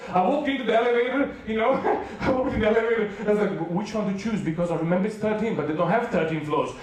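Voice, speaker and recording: A man with a Serbian accent tells comic stories in a sermon, acting out every exchange. His voice sits fairly high, and it is picked up from a distance in a big hall full of echo. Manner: animated comic storytelling, acts out dialogue. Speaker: preacher. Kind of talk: humorous sermon storytelling. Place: large hall with a youth audience. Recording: echoey hall, voice from far off.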